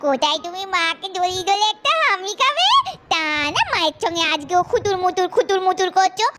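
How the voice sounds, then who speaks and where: A woman's voice: very high-pitched, animated, fairly quick, very noisy. A woman voicing a cheeky, sweet little girl in a doll cartoon, talking with a babyish lisp. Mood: teasing. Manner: babyish lisping talk.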